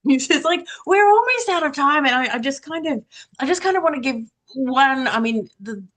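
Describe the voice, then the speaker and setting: A woman's voice: high-pitched, expressive, normal speed, fairly bright tone. A woman hosting a casual panel conversation in an effusive, encouraging way. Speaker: panel host.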